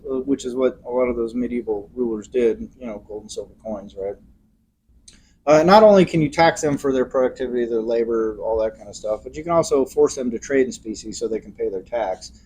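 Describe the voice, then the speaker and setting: A man co-hosting a podcast, explaining ideas in a casual, animated way and acting out examples in different voices. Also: medium pitch, moderate pace, slightly rough, rough recording. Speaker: podcast co-host.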